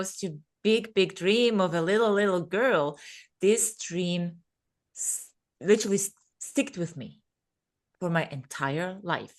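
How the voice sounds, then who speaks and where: Woman in her thirties telling personal stories. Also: fairly low pitch. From Vienna, Austria, born in Serbia. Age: 30s. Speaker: podcast guest, speaker and coach. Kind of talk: conversational personal storytelling.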